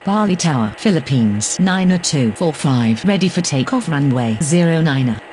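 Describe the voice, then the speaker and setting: A man plays a businesslike pilot and controller for a game. His fairly high voice swings noticeably in pitch as he delivers clipped, procedural radio calls. There is faint noise behind him. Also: animated, moderate pace, darkish tone, close to an everyday voice. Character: businesslike male airline pilot and air traffic controller.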